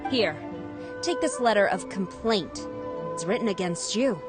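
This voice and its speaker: An actress playing a stern female police superior in a video game, composed and commanding, with formal, measured phrasing. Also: medium pitch, expressive, loud music behind the voice, natural voice. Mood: stern, reproving. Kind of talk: scripted game voice acting.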